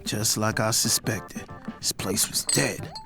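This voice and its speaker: A man's voice: lowish voice, slow pace, creaky. A man playing a brooding man hunting for answers, narrating in the first person for an audio drama. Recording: music behind the voice.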